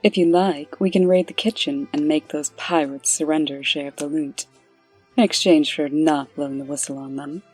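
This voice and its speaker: A woman playing a brisk, sardonic official in a comic dub, with a dry, pointed delivery. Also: low-pitched, steady tone, darkish tone, slightly rough, faint music behind, rough recording. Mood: sly, playful.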